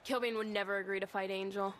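A woman's voice: medium pitch, flat delivery, fast, a bit bright, slight background noise, natural voice. An actress playing a cool, calculating female gang strategist who speaks matter-of-factly.

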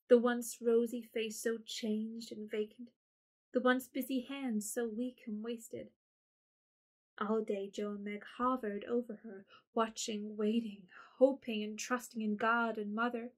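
A woman's voice: fairly high pitch, level tone. Woman narrating a period story. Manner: measured storybook reading. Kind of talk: scripted narration.

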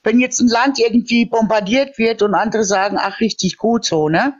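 A high-pitched man argues his faith in a casual, heated debate, pushing his point and ending sentences with a questioning "ne?". The audio is dull and lo-fi, coming through a phone on a live video call. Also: steady tone, moderate pace. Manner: insistent, preachy, tags sentences with "ne?".

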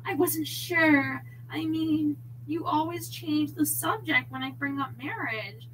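A woman voicing an emotional, jealous girlfriend who is quick to huff and plead, in an audiobook story. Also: high voice, very animated, sharp tone, a little hiss or hum, pitched above the performer's own voice, exaggerated voice, poor audio. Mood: melting, relieved. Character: jealous, huffy young woman, anxious lover.

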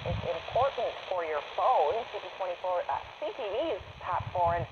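A woman's voice: on the low side, animated, moderate pace. A woman anchoring a radio news bulletin, reading from a script. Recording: dull radio reception, loud noise.

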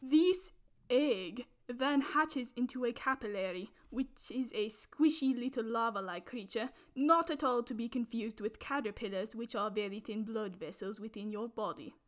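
A woman putting on a prim British nature documentary narrator voice, hosting a parody nature vlog with pompous, mock-solemn deadpan. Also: fairly high pitch, moderate pace, lightly acted. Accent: put-on British. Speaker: host.

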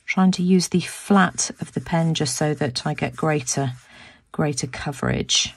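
A woman narrates a craft tutorial at her home table, her voice low and a little croaky. She talks casually and thinks aloud, often doubling words as she goes. The husky sound is close and dry. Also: a little slow, dry recording. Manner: chatty, thinking aloud with restarts. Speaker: craft video host.

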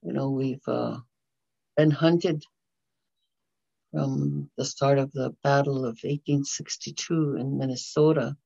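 An older woman whose first language is Dakota, speaking in a measured, halting way over a video call from a small bedroom. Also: extremely low pitch, steady tone, creaky, warm tone, slightly rough, no echo. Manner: measured, halting, with frequent pauses. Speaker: community presenter. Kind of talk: prayer and talk. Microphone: video call webcam. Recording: slightly dull lo-fi video call audio.

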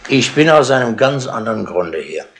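An actor playing a shrewd, patronising older London chief inspector in a wry, colloquial way, in 1960s radio drama style. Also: male voice, medium pitch, sing-song, moderate pace. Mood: wry, businesslike. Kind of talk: 1960s German radio crime drama acting. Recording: noisy 1960s radio tape with clicks.

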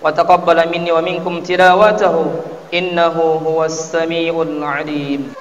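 Man, high pitch, formal sermon oratory, mosque pulpit microphone, long hall reverb. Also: little pitch movement, measured pace, highs cut off, faint music behind. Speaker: preacher delivering Friday sermon. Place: tiled mosque interior, at the pulpit. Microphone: mounted microphone at the pulpit. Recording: echoey hall, distant amplified voice.

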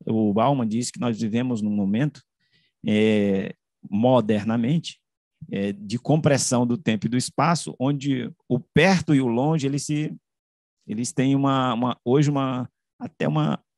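A man with a Brazilian accent giving an explanatory lecture over a video call, haltingly rephrasing as he goes. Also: mid-range voice, darkish tone, no room sound, clean studio sound. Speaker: academic presenter. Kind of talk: explanatory online lecture presentation with slides. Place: indoor room, online video call. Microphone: video call microphone.

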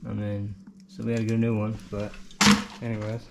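A man's voice: on the low side, completely flat delivery, brisk, gravelly. A man in his twenties with an American accent, giving offhand, slangy commentary while working, on a noisy handheld camera. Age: 20s. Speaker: HVAC installer vlogging. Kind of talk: casual on-the-job commentary. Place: indoor basement job site.

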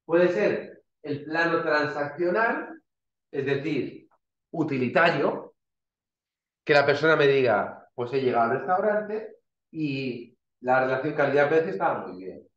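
A man's voice: mid-range voice, fairly bright tone. A male university lecturer, explaining slides informally with everyday examples and frequent restarts. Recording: dull, roomy lecture recording.

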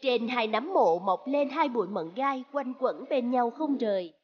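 A woman's voice: on the high side, lively intonation, unhurried, husky, dull audio. A woman giving scripted narration of a fairy tale as its storyteller.

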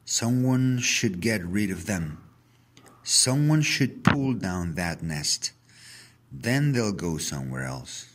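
Man acting excitable old grandfather, storybook character, husky, very animated. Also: fairly low pitch, takes it slowly, exaggerated voice. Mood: indignant. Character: agitated sick old grandfather in hospital bed. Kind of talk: children's storybook read-aloud.

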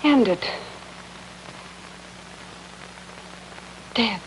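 Frightened young wife, American, highish pitch, earnest, melodramatic, 1950s TV drama. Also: female voice, animated, takes it slowly, noisy background. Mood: stunned. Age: young adult.

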